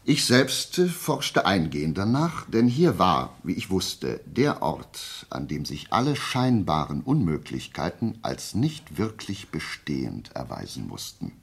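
Methodical radio-play sleuth. Deep, gravelly, animated, lecturing with deliberate emphasis and total confidence. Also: male voice, heavily acted. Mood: assured, analytical. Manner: deliberate, emphatic, didactic explaining. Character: methodical, self-assured male amateur detective reasoning aloud.

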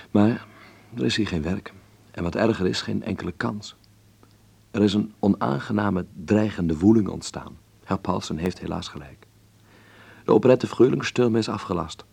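Low, creaky-voiced earnest young man. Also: darkish tone, dry recording, exaggerated voice. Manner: courteous, reflective, sincere. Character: earnest, kindly young Dutch filmmaker living in 1930s Berlin. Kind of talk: radio drama acting with letter narration.

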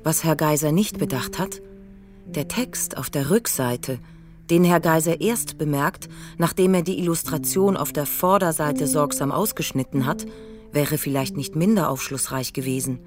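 A woman's voice: low voice, moderate pace. A woman reading scripted literary narration for a radio play. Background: music.

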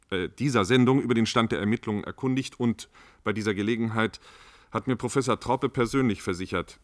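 An actor playing a reassuring, bureaucratic health official in a radio play, speaking in a formal, deliberate way. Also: male voice, on the low side, no room sound, natural voice. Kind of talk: German radio play staged as a panel discussion.

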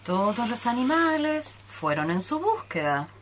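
A woman's voice: fairly high pitch, big pitch swings, measured pace, a bit bright, exaggerated voice. A woman narrating a children's radio play in a storytelling style.

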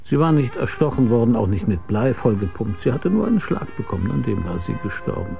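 An actor playing a weary, laconic, deadpan hard-boiled private detective who narrates his case in a radio crime drama. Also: medium pitch, a little fast, vocal fry, over music. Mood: grim, deadpan.

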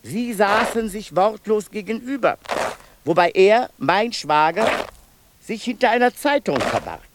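A man's voice: high voice, animated, unhurried, background noise. An actor playing a male asylum inmate who tells his tale in long, circling sentences, endlessly repeating himself. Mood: fixated, solemn. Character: male asylum inmate, obsessive pedantic storyteller. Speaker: inmate narrating family deaths. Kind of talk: 1990s radio play acting.

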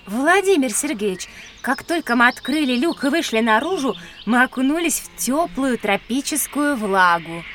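A clever little girl with a high voice, inquisitive and a bit tart, in a children's audio adventure. She sounds slightly off the mic, with faint birds and insects around her. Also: animated, moderate pace, far from the mic, noisy background. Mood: wondering. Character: alert, clever, easily miffed young girl explorer. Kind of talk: audio drama acting for children.

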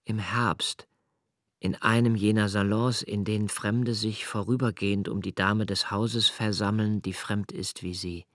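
An actor playing a brooding, introspective young male diarist, narrating a literary radio play in a slow, hushed, measured way. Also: lowish voice, no room sound, clean studio sound, close to an everyday voice. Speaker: first-person narrator.

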